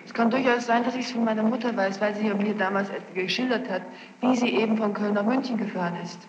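A woman's voice: medium pitch, fast talker. A young woman testifying as a witness in court, answering in a defensive, insistent way. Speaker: witness being questioned in court. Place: large echoing courtroom. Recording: noisy muffled archival courtroom tape, echoing hall.

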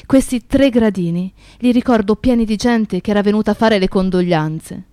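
A woman's voice: medium pitch, moderate pace, warm tone, dry recording, natural voice. Actress as reflective woman narrator, dry close sound. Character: reflective, grieving woman recalling her activist friend and his town. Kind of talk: radio theatre narration.

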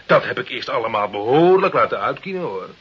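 Sly, animated crime boss, muffled radio. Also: male voice, mid-range voice, a little fast, husky. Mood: sly, amused. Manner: deferential on the surface, slyly defiant. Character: wily, mock-humble village crime boss under arrest. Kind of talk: Dutch radio play acting. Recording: muffled, hissy old radio recording.

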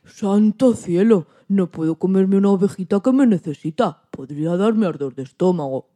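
A woman playing a tender old male wolf in a children's radio-play storybook, with drawn-out, expressive delivery. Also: mid-range voice, close to an everyday voice. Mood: soft-hearted, kindly. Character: old male wolf, tender, gruff but soft-hearted.

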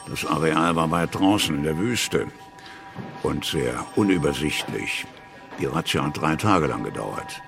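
Investigator: low, creaky, factual and official, docudrama with clear music bed. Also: male voice, heavily acted. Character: dry, factual male police investigator reporting raids. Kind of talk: German docudrama radio play testimony.

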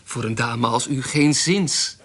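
An actor playing a fawning town magistrate in a radio drama, formal, gallant and unctuous. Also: male voice, mid-range voice, slow, a crowd in the background, natural voice. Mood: obliging, unctuous. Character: fawning small-town magistrate, flattering a young widow.

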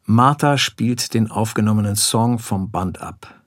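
A man's voice: on the low side, measured pace, noisy background. A man narrating scene directions for a radio play in a scripted style.

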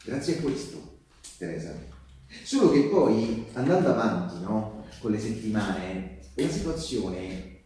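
Echoey far-off stage sound, earnest male Resistance partisan, dark relaxed tone, conversational monologue, halting, repetitive, confiding. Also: on the low side, a little hiss or hum. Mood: proud, rhetorical, then wary, recounting. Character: earnest male Resistance partisan postal worker recounting wartime sabotage. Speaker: stage actor. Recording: echoey live theatre, distant microphone.

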